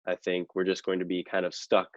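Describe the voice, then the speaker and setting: A man's voice: deep voice, monotone, fairly quick, dry recording, lo-fi sound. A man answering conversationally as an interview guest, explaining things in an analytical way. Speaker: podcast guest, real estate investor.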